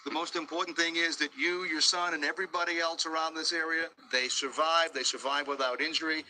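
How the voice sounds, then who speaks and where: A man's voice: fairly high pitch, fairly even intonation, brisk, bright, forceful tone, a little hiss or hum, poor audio. An American man reporting live outdoors from a storm scene, interviewing on the spot as a field news reporter. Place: outdoors at a storm damage site.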